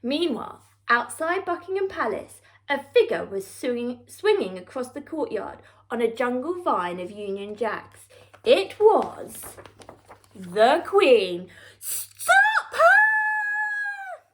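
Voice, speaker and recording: A woman storyteller reading a children's book aloud with expressive, theatrical narration and deliberate emphasis. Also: on the high side.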